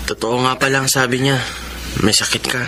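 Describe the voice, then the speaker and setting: A man's voice: medium pitch, flat delivery, brisk, bright, forceful tone, a little hiss or hum, close to an everyday voice. A Filipino man playing a troubled, stubborn and loyal young son in a radio drama. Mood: concerned, remorseful.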